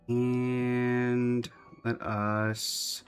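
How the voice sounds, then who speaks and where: A man's voice: medium pitch, flat delivery, drawn-out words, clean tone, faint music behind. A man giving casual, chatty commentary on a game livestream, talking to his chat and humming little filler syllables.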